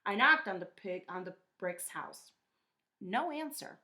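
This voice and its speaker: A woman's voice: medium pitch, expressive, a little slow, bright, forceful tone. A woman voicing an indignant, self-pitying male wolf in a children's read-aloud, chatty and emphatic as he protests his innocence. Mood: matter-of-fact. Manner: chatty, aggrieved, emphatic storytelling.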